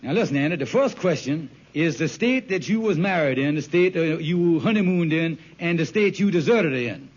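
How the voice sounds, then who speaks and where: A high-pitched, husky con man from a vintage radio sitcom. He wheedles and persuades in folksy, ungrammatical talk, always working a scheme. The old recording is muffled. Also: expressive, normal speed, few pauses. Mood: sly coaching.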